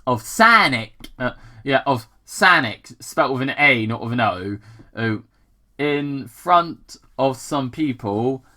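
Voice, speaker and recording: A person casually reading fan fiction aloud with commentary, halting and repeating words, with some swearing. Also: mid-range voice, pushed and bright. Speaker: gaming channel host reading fan fiction.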